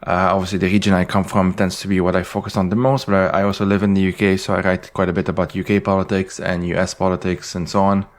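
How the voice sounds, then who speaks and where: A man's voice: sits low, little pitch movement, fast, few pauses. A man from Lebanon, a historian giving interview answers in a thoughtful, hedging way with frequent restarts. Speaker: guest historian and essayist.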